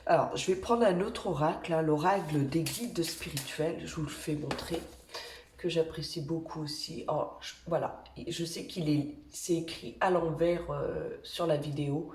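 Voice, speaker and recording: A woman with a cold giving weekly oracle-card guidance as an energy healer, speaking gently and hesitantly with many pauses. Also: low voice, reverberant, slight background noise. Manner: gentle, reassuring, pauses often while drawing cards. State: has a cold. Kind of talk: slow, hesitant spoken guidance with many fillers.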